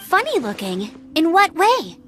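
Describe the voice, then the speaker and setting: Very high-voiced plucky young scout. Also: female voice, wildly swooping pitch, a bit bright, heavily acted. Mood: alert. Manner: eager, brisk, confident. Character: plucky, determined young female scout knight. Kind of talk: video game cutscene acting. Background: game music.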